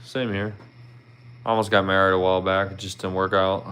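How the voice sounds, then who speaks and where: A man in his thirties with a Philadelphia accent, hosting a casual livestream. His voice sits low and comes across smooth and resonant. He rambles in a friendly way, filling gaps with "you know". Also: level tone, fairly quick, fairly bright tone. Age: 30s. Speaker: livestream host. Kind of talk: casual livestream chat with viewers.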